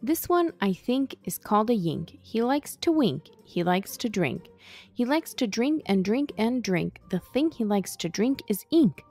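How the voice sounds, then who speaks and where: A woman reading a rhyming children's picture book aloud in a playful, animated storytelling manner. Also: a little high, measured pace. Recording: music behind the voice. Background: background music.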